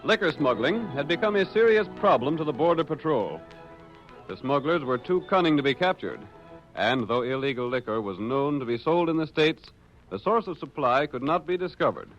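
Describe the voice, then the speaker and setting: A man's voice: fairly high pitch, big pitch swings, moderate pace, soft background music. Theatrical old-time radio announcer, male. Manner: theatrical, declamatory. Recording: dull vintage radio recording.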